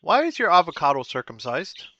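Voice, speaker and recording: Man, reaction host, blunt, crude, repeats phrases, forceful bright voice, dry slightly dull recording. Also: on the high side, huge pitch swings, brisk, soft background music. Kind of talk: casual reaction commentary.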